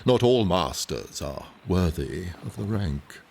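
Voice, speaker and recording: An actor playing a gentle, protective gentleman in an audio drama. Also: low voice, very animated, takes it slowly, vocal fry, full character voice. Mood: gentle, grave.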